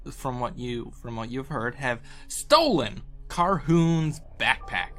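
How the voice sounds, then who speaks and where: A man's voice: mid-range voice, expressive, takes it slowly, a bit bright, faint music behind. A man speaking informally and conversationally as a podcast host and dungeon master. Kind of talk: casual banter and game recap.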